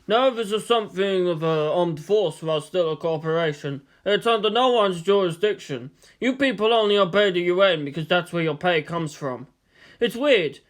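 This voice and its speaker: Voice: medium pitch, animated, normal speed, close to an everyday voice. A narrator as a wry, expounding male film director.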